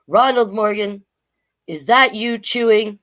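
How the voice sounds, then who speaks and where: A woman's voice: mid-range voice, takes it slowly, a bit bright, pitched above the performer's own voice. A woman voicing a stern female schoolteacher who scolds pupils in a children's storybook. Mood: stern scolding. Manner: scolding, exclaiming.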